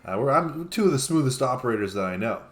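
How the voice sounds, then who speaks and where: A man's voice: mid-range voice, very animated, fast talker. A man co-hosting a comedic home show, answering dryly and evasively with lots of hesitations. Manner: dry, evasive, hesitant with many fillers. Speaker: co-host. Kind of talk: casual comedic banter.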